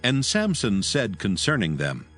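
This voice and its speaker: A man's voice: fairly low pitch, huge pitch swings, a little slow, a bit bright, soft background music. A man narrating a dramatized Bible with a solemn storytelling delivery.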